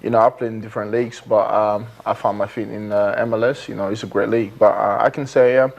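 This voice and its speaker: Low-voiced young man, halting and hesitant. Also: little pitch movement, gravelly, lo-fi sound. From Nigeria. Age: 20s. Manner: hesitant, many fillers and restarts. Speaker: guest footballer. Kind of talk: TV studio interview. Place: TV studio.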